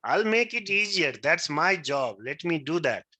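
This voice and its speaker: Man, first language Maithili, teaching a class; fairly high, bright tone, keeps asking if he is right. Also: lively intonation, unhurried. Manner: keeps checking understanding, asks if he is right. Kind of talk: interactive class lecture.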